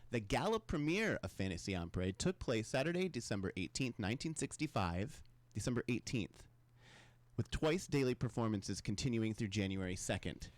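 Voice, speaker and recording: A man presenting a music history segment on a podcast. He reads from prepared notes, chats casually and laughs at his own slips. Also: on the low side. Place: studio.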